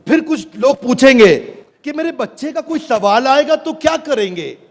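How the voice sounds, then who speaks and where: Showy man pitching loudly on stage. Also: expressive, moderate pace. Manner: showy, teasing, calls out to the crowd. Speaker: business presenter on stage. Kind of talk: energetic stage product pitch to a live audience. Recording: large hall with crowd and faint noise.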